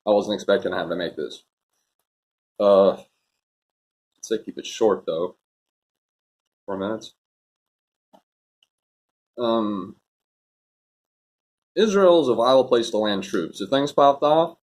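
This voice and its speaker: A man delivers off-the-cuff political commentary in a low, husky voice. He rambles casually and is strongly opinionated.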